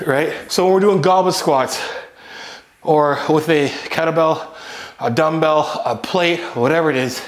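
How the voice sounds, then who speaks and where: A man in his thirties talking casually through a home workout, explaining each exercise as he goes in a small indoor gym. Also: a little high. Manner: relaxed, explanatory, talks while exercising. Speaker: fitness coach.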